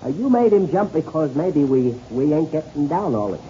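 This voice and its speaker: An actor playing a fretful, stubbornly loyal airplane sidekick in a 1930s radio serial, stammering in folksy, ungrammatical speech. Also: male voice, a little high, lively intonation, normal speed, dark tone, lightly acted. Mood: indignant, stubborn. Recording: dull, noisy old radio recording.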